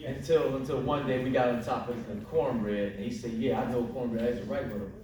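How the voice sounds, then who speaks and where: Enthusiastic man in a booming echoey hall. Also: steady tone, brisk, recorded from a distance, background noise. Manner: enthusiastic, praising, slangy. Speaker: panelist. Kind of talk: casual panel talk. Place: large library event room.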